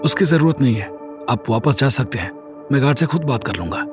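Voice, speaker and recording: A man's voice: medium pitch, animated, fairly quick, over music, natural voice. A man playing an earnest young army lieutenant in an audio drama, respectful and confident. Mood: calm, firm.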